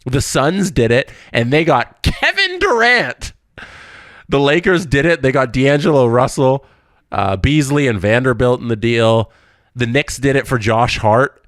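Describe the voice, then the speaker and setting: A man's voice: medium pitch, sing-song, measured pace, a bit bright, no room sound, clean studio sound. Stuffy-nosed man ranting about sports. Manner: ranting, emphatic, piles up repeated phrases. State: stuffy nose. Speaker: sports podcast host. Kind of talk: solo sports podcast rant.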